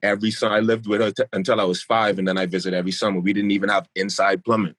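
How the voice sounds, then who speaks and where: A man's voice: lowish voice, level tone, racing, fairly bright tone, dry recording. A young man with a light Bahamian accent mixed with South Florida, talking loosely as a podcast guest and often repeating himself. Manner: laid-back, rambling, repeats himself. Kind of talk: casual podcast chat.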